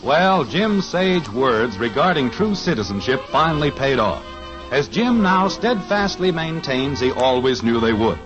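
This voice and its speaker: A man announcing a 1950s radio show in a smooth, formal manner. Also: medium pitch, sing-song, a little slow. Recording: lo-fi radio transcription with music.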